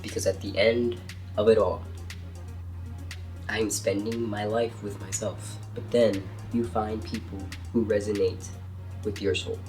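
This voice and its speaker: A young man speaks low and earnestly in his twenties, his voice thick and congested from a cold. He sits a little back from the mic, and soft music plays under him. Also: flat delivery, measured pace, distant mic. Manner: earnest, heartfelt, reflective. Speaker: vlogger. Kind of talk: personal vlog talk to camera.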